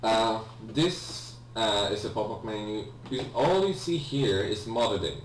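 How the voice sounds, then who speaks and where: Slow, low-voiced man in a roomy recording. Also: expressive. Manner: hesitant, rambling, self-correcting. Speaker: let's player, video game host. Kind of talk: casual gameplay commentary. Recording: roomy, distant mic with faint hum.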